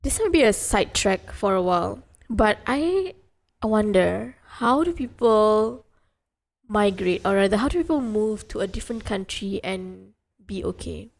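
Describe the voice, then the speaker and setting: A woman podcast host in a relaxed interview chat. She speaks reflectively, feeling her way toward each thought, with a mid-pitched voice. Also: a little slow, creaky. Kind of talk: casual conversational interview.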